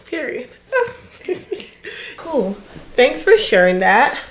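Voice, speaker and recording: A woman's voice: fairly high pitch, big pitch swings, drawn-out words, reverberant. A young woman hosting an interview, casual and slangy, stumbling over her words at times. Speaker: host.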